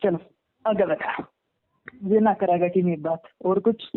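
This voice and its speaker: Man, Haryanvi accent, high-pitched, argumentative domestic phone talk, thin telephone-quality recording. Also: fairly even intonation, measured pace. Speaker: husband on a phone call. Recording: muffled narrowband phone call recording.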